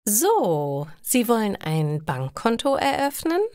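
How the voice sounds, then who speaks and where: A woman voicing a polite, helpful female bank clerk in slow, formal storybook dialogue. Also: medium pitch, very animated, a little mellow, smooth, no room sound, clean studio sound, voice pushed up.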